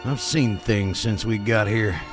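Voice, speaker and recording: A man's voice: on the low side, measured pace, faint music behind, lightly acted. A man playing an earnest, concerned homesteader father in an improvised western radio play. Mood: grave.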